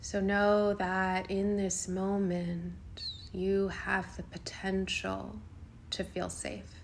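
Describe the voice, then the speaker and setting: A woman guiding a yoga nidra meditation in a soothing, unhurried way, speaking in short phrases with long pauses. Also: mid-range voice, monotone, gravelly, slight background noise. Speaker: meditation guide.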